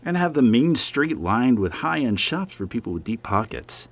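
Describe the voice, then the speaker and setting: Audio drama, cocky scheming vacationer, dismissive and slick, middle register, very animated delivery. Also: male voice, medium pitch, normal speed. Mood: scheming, smug. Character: cocky, skeptical male vacationer and scheming developer. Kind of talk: horror audio drama acting.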